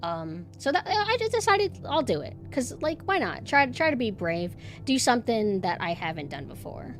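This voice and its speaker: A woman streamer with a monotone voice, chatting casually and rambling with lots of fillers and restarts. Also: on the high side, moderate pace, soft background music. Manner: rambling, self-deprecating, many fillers and restarts. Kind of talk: casual chat.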